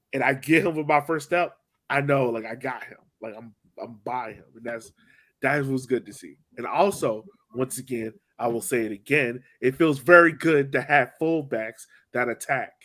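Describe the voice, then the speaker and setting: A man's voice: medium pitch, a bit bright. A man co-hosting a soccer podcast, talking through a match in an informal, slangy way full of "like". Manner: informal, slangy, filler-heavy with frequent "like". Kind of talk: casual chat, sports match recap.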